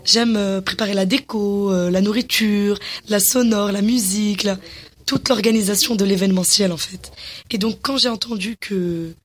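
A young woman from Morocco with a husky, breathy voice. She talks with eager enthusiasm, full of hesitations and restarts. Her pitch sits at an ordinary middle height. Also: steady tone, moderate pace. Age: young adult. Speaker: interviewee, young entrepreneur. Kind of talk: casual interview answers.

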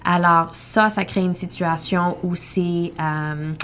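A woman family doctor speaking as a guest on a talk show, explaining calmly with frequent hesitations. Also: lowish voice, takes it slowly, a little hiss or hum. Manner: calm, explanatory, with fillers. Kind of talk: panel discussion.

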